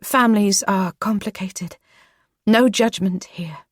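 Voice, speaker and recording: A woman voicing a composed female investigator who asks her questions gently and carefully. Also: medium pitch, takes it slowly, husky, no echo, studio quality, pitched above the performer's own voice. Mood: gentle.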